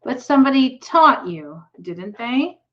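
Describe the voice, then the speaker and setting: Mild old country doctor, slow and thoughtful, a lady reading him out, kinda dull lo-fi sound. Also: fairly high pitch, very animated, voice pushed up. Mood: musing.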